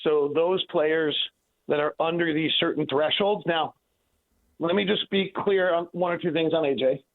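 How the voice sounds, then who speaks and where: A man's voice: fairly high pitch, fairly even intonation, moderate pace. Emphatic man on a tinny phone line. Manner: emphatic, repeats himself for stress, argumentative. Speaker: sports analyst guest. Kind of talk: radio sports analysis, conversational.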